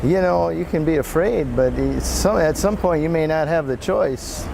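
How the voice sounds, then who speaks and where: A man's voice: on the high side, moderate pace, vocal fry, noisy background. An older man co-hosting an advice talk show outdoors, easygoing, with dry humor. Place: outdoors in the sun.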